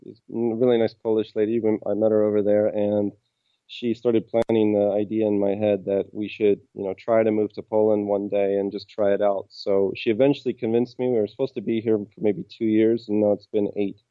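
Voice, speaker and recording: A man's voice: lowish voice, little pitch movement, brisk, no room sound, dull audio. American man explaining with many ums. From United States. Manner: hesitant, many ums and restarts. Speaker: game company representative. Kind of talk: interview answers.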